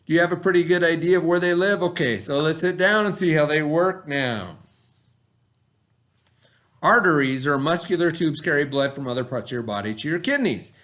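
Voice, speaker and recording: A man's voice: on the high side, a bit bright. A middle-aged man reading a lesson aloud to children as their teacher, with simple, instructive explanations.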